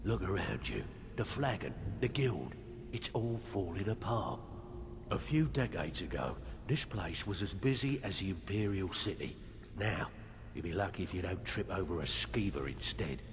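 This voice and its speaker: Gruff veteran thief, fantasy game: low register, blunt, knowing, superstitious, noisy backdrop. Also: male voice, expressive, normal speed. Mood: grim. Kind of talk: video game character dialogue. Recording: game audio with clear background noise.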